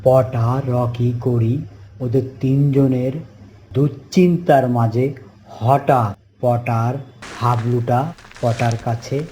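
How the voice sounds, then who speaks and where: A man's voice: mid-range voice, fairly even intonation, slow pace, pauses often, warm tone, slight background noise, rough recording. A man narrating a scripted children's cartoon story. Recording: music behind the voice. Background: music.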